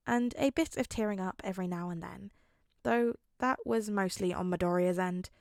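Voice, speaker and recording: A woman's voice: medium pitch, dry recording. Woman reading fanfiction aloud, dry recording. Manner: expressive narration with voiced dialogue. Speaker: podfic reader.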